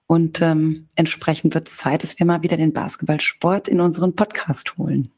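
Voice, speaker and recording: A woman co-hosting a sports podcast, slightly hoarse, upbeat and conversational. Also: low voice, fairly even intonation, normal speed, darkish tone. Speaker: podcast co-host. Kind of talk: casual podcast talk.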